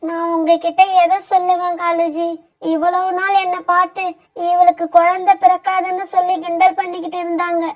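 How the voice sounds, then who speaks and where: Brief: woman as a sad, aging mother crow, very high and plaintive, cartoon. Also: little pitch movement, moderate pace. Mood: sorrowful. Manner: plaintive, tearful pleading. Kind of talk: cartoon voice acting.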